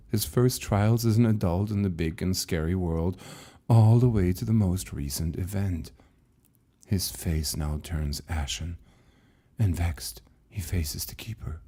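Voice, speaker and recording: Male narrator for suspenseful fiction: lowish, husky, measured and unhurried, dramatic scripted read. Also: dark tone. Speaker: author reading own short story.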